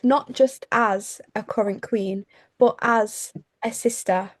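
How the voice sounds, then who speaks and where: Host: woman, somewhat high pitch, conversational interview style, very dry room sound. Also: steady tone, slow pace, no echo. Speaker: podcast host.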